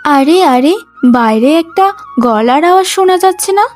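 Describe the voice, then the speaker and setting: A very high voice carries this gentle young daughter-in-law , acted by a woman. She speaks slowly and pleadingly, with sentimental devotion suited to a cartoon family drama. Light music plays behind her. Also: expressive. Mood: anxious. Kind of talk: emotional cartoon story acting.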